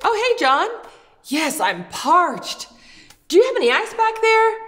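A woman in her thirties with a high, swooping voice. She delivers scripted children's show dialogue playfully and expressively, with long room reverb around her. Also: big pitch swings, unhurried, fairly bright tone. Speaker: children's puppet show host. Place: indoor wooden puppet stage set.

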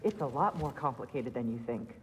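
A woman's voice: a bass voice, animated, brisk, husky, long reverb tail, soft background music, heavily acted, poor audio. A woman playing a stern, worried, protective mother in a video game drama. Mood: stern, pleading. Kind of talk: video game cutscene acting.

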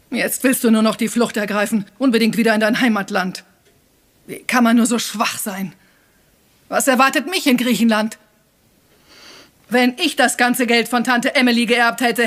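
Bitter scheming wife, high voice. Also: female voice, fairly bright tone. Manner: dramatic, accusing, emotionally charged. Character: bitter, scheming wife, unmasked murderess, proud and scornful. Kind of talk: radio crime drama acting.

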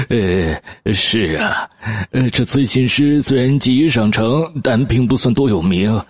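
Man acting a slow, husky old gentleman. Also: medium pitch, animated, no echo, studio quality. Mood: amused, admiring. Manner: hesitant, chuckling, unhurried. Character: elderly men, master and servant, genial and chuckling. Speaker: audiobook voice actor. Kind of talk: acted audiobook dialogue.

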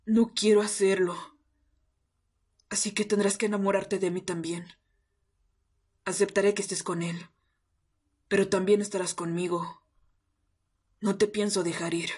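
A woman playing a brooding, possessive young male vampire in a romantic audio drama, husky and earnest. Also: mid-range voice, darkish tone.

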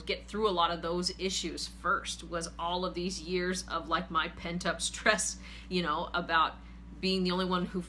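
A woman in her forties hosts an earnest, steady advice chat from a living room couch, facing a camera. Her voice sits low yet bright and forward, with a faint hum in the room. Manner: earnest, steady, reads viewer comments aloud. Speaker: host, finance group leader. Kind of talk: casual advice talk.

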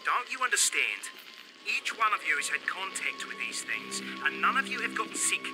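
A man's voice: high voice, very animated, normal speed, reverberant, distant mic, loud music behind the voice, rough recording. An actor playing a stern military colonel in a video game, desperate to save his wife. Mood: pleading. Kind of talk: dramatic game cutscene acting.

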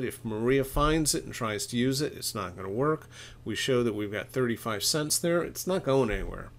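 A middle-aged man narrating a step-by-step software tutorial in an informal, explanatory way, thinking aloud as he goes. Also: medium pitch. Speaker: laundry equipment expert, tutorial presenter.

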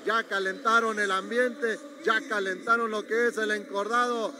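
A man's voice: sits high, level tone, normal speed. A man commenting live on a wrestling show in a university gym and urging viewers to share the stream. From Mexico. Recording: noisy arena.

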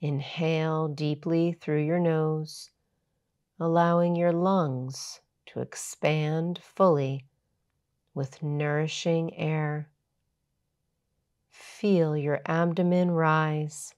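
A woman yoga teacher narrating a guided meditation in a soothing, measured, unhurried way. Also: deep voice, little pitch movement, a little mellow.

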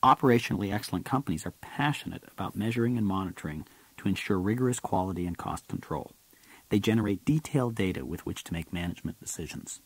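Man narrating, lively low-pitched gravelly voice. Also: quick pace, no room sound. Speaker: author reading own audiobook. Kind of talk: scripted audiobook narration.

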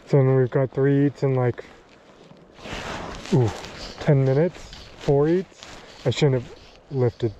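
A man's voice: mid-range voice, slow, pauses often. A young man fishing from a float tube on a reservoir, chatting casually to himself about bites and catches, recorded on a head-mounted action camera. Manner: relaxed, talks to himself between catches. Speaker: fly fishing vlogger. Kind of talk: casual running commentary while fishing. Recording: muffled outdoor audio with wind noise.

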